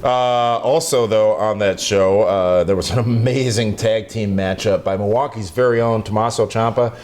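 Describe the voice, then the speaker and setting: A man's voice: fairly even intonation, moderate pace, a little mellow, a little hiss or hum. A man hosting a wrestling talk show, running through the news and needling his co-host. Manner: teasing, roasts his co-host, pitches the show to viewers.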